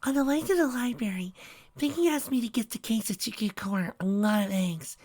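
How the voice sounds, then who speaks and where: A woman voicing a shy, gentle pegasus mare who talks to animals, speaking timidly in a soft, breathy whisper. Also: a little high, brisk, lightly acted.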